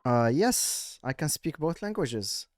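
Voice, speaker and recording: A man with a Moroccan accent, live-streaming a casual, teasing chat, halting now and then with fillers. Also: a little high, sing-song, dry recording, studio quality.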